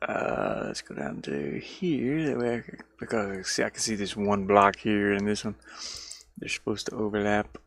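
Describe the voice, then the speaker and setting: A man's voice: on the low side, animated, moderate pace, creaky, a bit bright. Rambling, playful man on a casual stream. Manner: rambling, playful, easily sidetracked. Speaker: streamer and indie game developer. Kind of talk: casual livestream chat while working. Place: inside a van.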